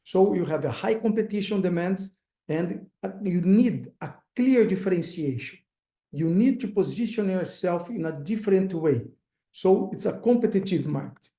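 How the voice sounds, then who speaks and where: A man with a Brazilian accent, Portuguese as his first language, giving a webinar talk as an investor, halting and pausing often with fillers. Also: sits high, lively intonation, dark tone, reverberant. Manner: halting, searching for words, frequent uh fillers. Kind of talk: webinar presentation.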